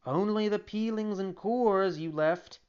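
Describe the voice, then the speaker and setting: A reader as a patient, slow-spoken kindly old father. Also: medium pitch, a little mellow, clear, resonant tone, dull audio, pitched above the performer's own voice, lightly acted. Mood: gentle. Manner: slow, mild, fatherly admonishing.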